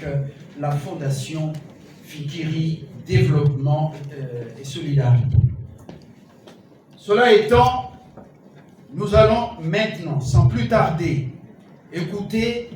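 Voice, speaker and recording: A man introducing speakers at an event, slow and formal. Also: a little high, a little mellow, a little hiss or hum. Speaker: master of ceremonies. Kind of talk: event announcement. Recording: echoey hall, far from mic.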